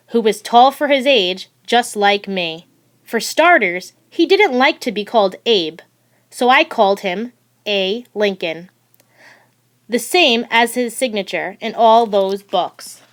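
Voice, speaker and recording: A woman narrating a children's picture book aloud in a deliberate, expressive storytelling manner. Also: a little high. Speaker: audiobook reader.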